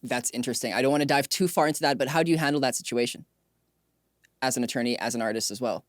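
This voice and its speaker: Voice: mid-range voice, fast, no room sound. A podcast host chatting casually in an interview, asking questions in an agreeable, inquisitive way.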